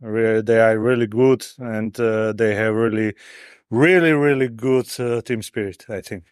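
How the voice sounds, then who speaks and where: Slovak man in halting, smooth-voiced English. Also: lowish voice, fairly even intonation, dry recording, clean studio sound. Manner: halting English, repeating words while searching for them. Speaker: football fan interviewed before a match.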